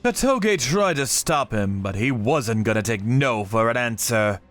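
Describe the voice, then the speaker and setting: A man reading scripted dramatic narration in a grave, measured way. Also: medium pitch, expressive, a bit bright. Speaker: narrator of a manga story, telling it in first person as a vigilante character. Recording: music behind the voice.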